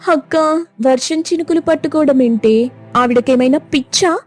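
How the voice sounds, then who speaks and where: Woman doing a whiny little cartoon schoolgirl, way up high, soft mellow tone. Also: normal speed, soft background music, close to an everyday voice. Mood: indignant. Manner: complaining, whiny outbursts. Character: indignant young schoolgirl, the younger sister. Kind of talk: cartoon voice acting.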